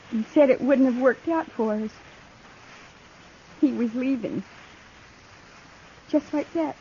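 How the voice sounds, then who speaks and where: A distraught young frontier woman, hurt and betrayed, in a 1950s radio drama. Her voice is high and she talks slowly in broken phrases. The recording is muffled, with hiss. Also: warm tone. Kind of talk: 1950s radio western acting.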